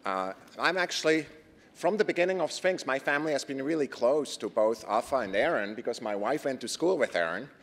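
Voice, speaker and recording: A man with a Swiss accent giving an earnest conference talk, posing rhetorical questions and pausing on fillers. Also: medium pitch, lively intonation, a bit bright. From Switzerland. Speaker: conference presenter. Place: conference stage. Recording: reverberant lecture hall.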